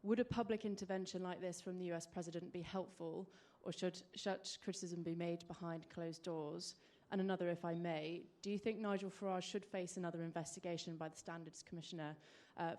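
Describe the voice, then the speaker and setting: A woman's voice: fairly low pitch, fairly even intonation, a little fast, darkish tone. A woman journalist, putting formal questions at a press conference.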